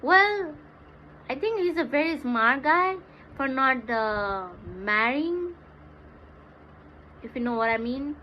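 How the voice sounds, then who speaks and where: A woman's voice: sits high, expressive, takes it slowly, long pauses. A woman with a Nepali accent chatting casually and playfully as a livestream host. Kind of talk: casual livestream chat, mixing English and Hindi. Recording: dull lo-fi livestream audio, clear background noise.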